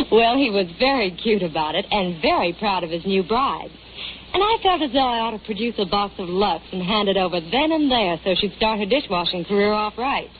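Lively American woman, tinny old radio. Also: mid-range voice, normal speed. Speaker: radio show reporter and sponsor pitchwoman. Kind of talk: scripted 1940s radio advertising chat. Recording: tinny, hissy 1940s radio recording.

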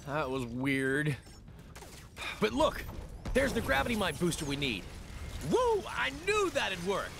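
A man playing a cocky, excitable young space hero who boasts and jokes in a cartoonish video game. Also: sits high, unhurried, creaky, long pauses, background noise, full character voice. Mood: excited. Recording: loud game music behind.

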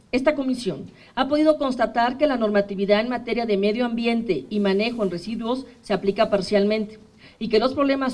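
A woman in her thirties with a Mexican accent, reading a formal statement at a podium microphone for a human rights commission, measured and steady. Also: a little high. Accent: Mexican Spanish. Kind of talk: formal statement read aloud. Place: indoor press room, at a podium.

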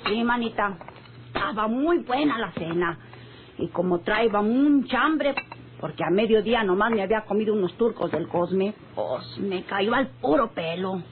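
A woman's voice: medium pitch, animated, moderate pace, lightly acted. An actress playing a good-natured, unschooled Mexican working woman, chatty and folksy, in an old radio drama. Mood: friendly, chatty. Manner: folksy, stumbles on big words. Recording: noisy, tinny old radio recording.

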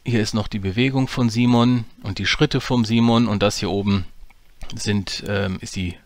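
A man hosting a screen-recorded software tutorial, explaining his editing steps casually with frequent hesitations. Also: fairly low pitch, fairly even intonation, normal speed, dry recording. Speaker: audio drama producer and tutorial host.

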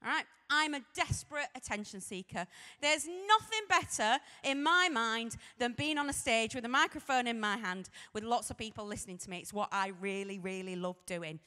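A woman's voice: a little high, animated, normal speed, pushed and bright. A woman vicar in her forties with a Sheffield accent, telling her own story to a young crowd in a chatty, self-mocking way on a stage, holding a microphone. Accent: Sheffield English. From Sheffield, England. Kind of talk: humorous personal testimony to a youth audience. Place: large hall stage. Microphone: handheld microphone. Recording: echoey hall.

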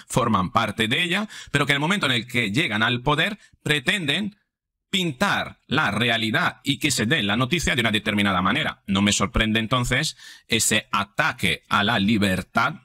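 A man in his forties argues his views into a studio microphone in a small home studio. His voice is bright and pointed, with a mid pitch. He keeps throwing rhetorical tag questions at the listener. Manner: argumentative, frequent rhetorical "¿no?" tags. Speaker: psychologist commentator. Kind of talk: opinion commentary over social posts. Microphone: studio mic on stand in front.

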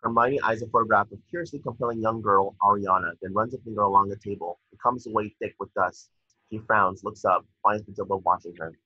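A man reads stage directions in a measured, slightly stumbling way. His voice is low, raspy and forceful, captured dry through dull, lo-fi video call audio. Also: dry recording. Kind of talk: scripted narration at a table read.

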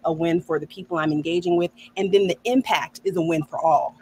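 A woman's voice: lowish voice, steady tone, brisk, a little mellow, slight background noise, poor audio. A middle-aged woman hosting a podcast on a video call, speaking in an affirming way and laughing often. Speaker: podcast host, neuro coach. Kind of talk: casual podcast conversation. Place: home office.

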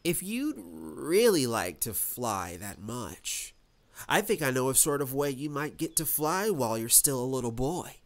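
A man voicing an old alley cat, slow and halting. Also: medium pitch, sing-song, voice pushed up. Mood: wistful, reminiscing. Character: old female alley cat, polite worn-out former traveler.

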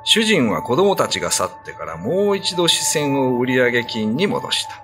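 A man's voice: medium pitch, sing-song, moderate pace. A man narrating a ghost story in a dramatic radio drama reading. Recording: music under the voice. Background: background music.

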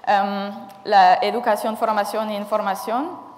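A young woman giving a conference talk for a cooperative federation, pausing often as she searches for words in a second language. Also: medium pitch, steady tone, a little mellow. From lives in Brussels. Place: large hall with audience. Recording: reverberant hall with faint noise.

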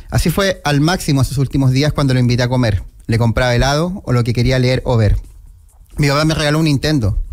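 A middle-aged Chilean man hosting a radio show, giving a solemn, respectful tribute in a measured way, close on a studio microphone. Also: medium pitch, darkish tone. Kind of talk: solemn tribute monologue, partly read aloud. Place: small indoor radio studio. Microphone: close broadcast microphone with headphones. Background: background music.

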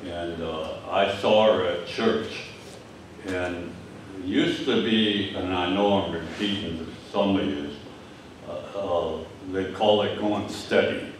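An older man with a Michigan accent preaching a sermon at a lectern, speaking haltingly with many fillers and repeated words. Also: low voice, slight background noise. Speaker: pastor. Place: church hall with stage and screen. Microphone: lectern mic from a distance. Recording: echoey hall, distant mic.

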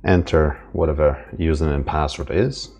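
A man's voice: extremely low pitch, fairly even intonation, normal speed, a little mellow, soft background music. A man from Ireland casually walking through an intercom setup step by step, with a few fillers. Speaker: installer demonstrating setup. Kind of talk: casual tutorial narration.

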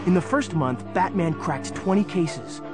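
An actor playing an earnest, youthful superhero sidekick, narrating a children's audio drama. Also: male voice, on the high side, lively intonation, a little slow. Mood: earnest storytelling. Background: music.

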